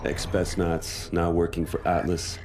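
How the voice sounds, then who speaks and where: Extremely deep-voiced, grave soldier narrator. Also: male voice, completely flat delivery, measured pace. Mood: grave, reflective. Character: grave, reflective male soldier narrating his story. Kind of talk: dramatic first-person narration.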